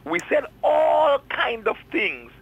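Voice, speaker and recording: Lively joking male caller on phone. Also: very high voice, slow pace, breathy edge. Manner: jovial, joking. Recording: phone line.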